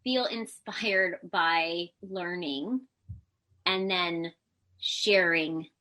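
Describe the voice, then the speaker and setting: A woman with a high-pitched voice, a Pilates teacher talking candidly as a podcast guest. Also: extremely slow, pushed and bright. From United States. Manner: candid, self-deprecating, laughs often. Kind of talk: casual interview chat.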